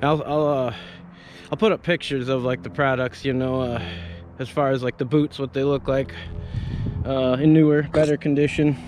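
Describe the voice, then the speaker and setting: A man's voice: medium pitch, level tone, normal speed, darkish tone, a little hiss or hum. A man in his thirties, an arborist, giving a casual, rambling gear review from up a tree outdoors on a head-mounted camera, full of fillers and restarts. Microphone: head-mounted action camera.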